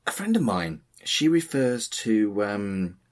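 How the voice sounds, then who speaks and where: A man hosting a podcast interview, asking questions in a relaxed conversational way and swearing bluntly now and then. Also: fairly low pitch, slow. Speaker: podcast host, humanist celebrant. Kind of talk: casual interview.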